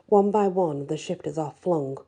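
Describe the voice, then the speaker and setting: A woman narrating a first-person fantasy story in a soft, husky, breathy ASMR style, with expressive delivery. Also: low-pitched, moderate pace. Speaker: storyteller reading a fantasy audiobook.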